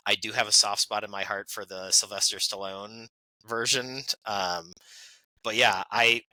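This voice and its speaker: Bright-toned man chatting on a podcast. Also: on the low side, fairly even intonation, measured pace, no room sound, poor audio. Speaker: podcast co-host. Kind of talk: casual chat.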